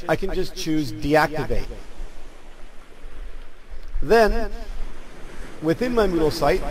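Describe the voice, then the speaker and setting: Higher-voiced man presenting a software tutorial. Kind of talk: screencast software tutorial narration.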